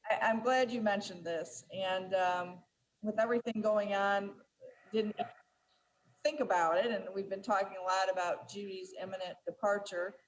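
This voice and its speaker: A woman chairs a city council meeting, calling on members and reading items in a measured way. She often pauses on fillers and restarts her phrases. A faint steady hum sits under her voice. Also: medium pitch, poor audio.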